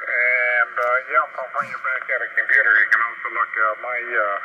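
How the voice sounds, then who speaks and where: A man talking haltingly as an amateur radio operator, heard through a radio transmission. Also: medium pitch, level tone. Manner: halting, hesitant phrasing. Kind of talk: ham radio contact chat. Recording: muffled shortwave radio signal with clear static noise.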